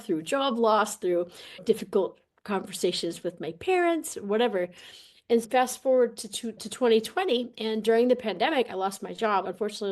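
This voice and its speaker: A woman speaking as a podcast guest and coach, telling things in a casual, animated, colloquial way. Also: fairly high pitch. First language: English. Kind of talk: casual interview talk, personal storytelling.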